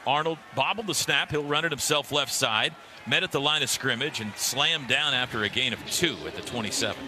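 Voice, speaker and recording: American male play-by-play announcer, average pitch, short punchy calls, live outdoor broadcast with clear background noise. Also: a little fast, pushed and bright. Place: outdoor football stadium.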